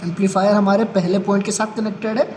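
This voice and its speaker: A man's voice: sits high, steady tone, quick pace, a little mellow, a little hiss or hum. A man presenting a practical tech demonstration step by step, repeating points and mixing English terms into his speech.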